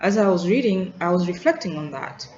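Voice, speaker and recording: A woman's voice: fairly low pitch, animated, brisk, darkish tone. A young woman from Tanzania with a Tanzanian English accent, thinking aloud in an unscripted vlog, hesitant and full of restarts and trailing asides. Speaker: student vlogger. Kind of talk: reflective unscripted monologue. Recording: roomy, dull lo-fi sound with faint hiss.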